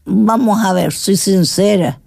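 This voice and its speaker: A woman's voice: medium pitch, slow pace, gravelly, darkish tone, dry recording, clean studio sound. An older woman hosting a radio talk show, teasing and chatting playfully with her guest and co-host. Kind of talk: joking radio chat.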